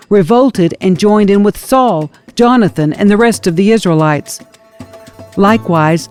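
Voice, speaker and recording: A woman's voice: medium pitch, dark tone. A woman reading scripture as a scripted audiobook narrator, in a storytelling manner. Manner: storytelling, dramatizes quoted speech.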